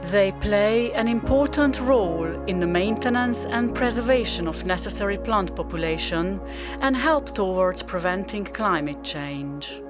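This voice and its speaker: A woman's voice: mid-range voice, animated, measured pace, music in the background. A woman reading scripted, descriptive narration for a radio drama.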